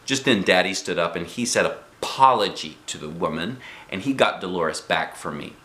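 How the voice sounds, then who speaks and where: A man reading a children's story aloud in an expressive, playful, animated manner. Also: low voice, normal speed, echoey room. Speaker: storybook reader.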